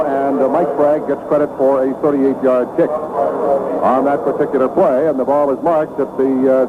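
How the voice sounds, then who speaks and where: An American man calling live football play-by-play on radio in clipped phrases, building to excitement on big plays. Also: a little high. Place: stadium broadcast booth. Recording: noisy, tinny 1970s radio broadcast.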